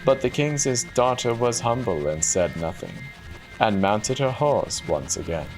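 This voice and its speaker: A man telling a fairy tale as a dramatic scripted narration, measured and formal. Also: medium pitch, very animated, poor audio. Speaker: storyteller. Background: soft music.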